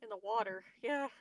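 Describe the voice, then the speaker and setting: A woman's voice: a little high, recorded from a distance, rough recording. A woman chatting casually as a player in a tabletop game, with a hesitant, wordy, wry way of talking.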